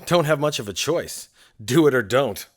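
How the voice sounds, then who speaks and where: A man's voice: mid-range voice, lively intonation, moderate pace, lightly acted. A man playing a tired, skeptical writer. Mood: weary. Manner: dry, skeptical, grumbling. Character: weary, sardonic male scriptwriter, married with kids. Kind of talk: audio drama acting.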